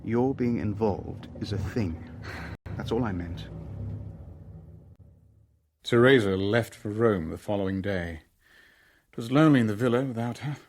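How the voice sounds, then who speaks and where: An actor playing a guarded middle-aged businessman who narrates his own spy story in a radio drama, in a measured, careful manner. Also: fairly low pitch, a little mellow. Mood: firm, practical. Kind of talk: radio drama dialogue and first-person narration.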